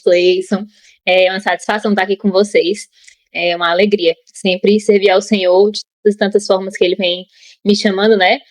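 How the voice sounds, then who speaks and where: A woman's voice: medium pitch, no room sound. A young woman from Ceará with a Cearense Brazilian accent, a podcast guest chatting casually with frequent fillers. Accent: Cearense Brazilian Portuguese. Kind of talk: casual conversational storytelling.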